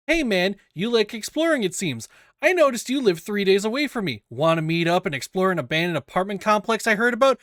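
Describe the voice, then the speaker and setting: A man reading a written document aloud in a deliberate, scripted narration style. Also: sits high, a bit bright, no echo, clean studio sound. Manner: deliberate, spells out quote marks aloud. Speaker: reader of an investigation document.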